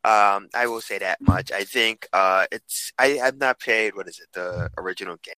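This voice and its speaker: A young man co-hosting a casual podcast chat, upbeat, with many restarts and quick asides. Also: lowish voice, pushed and bright, no echo, faint music behind, rough recording. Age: young adult. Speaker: podcast co-host.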